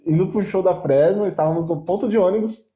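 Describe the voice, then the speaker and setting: A man's voice: sits high, brisk. Slangy young paulista guy, echoey room. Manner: slangy, animated, swears casually. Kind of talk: casual chat.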